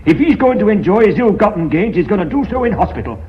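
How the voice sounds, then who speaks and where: High-pitched, enraged company director. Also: male voice, expressive, quick pace. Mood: furious, threatening. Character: enraged, violent company director, hot-headed. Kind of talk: British radio drama acting. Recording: dull lo-fi radio drama recording, hiss.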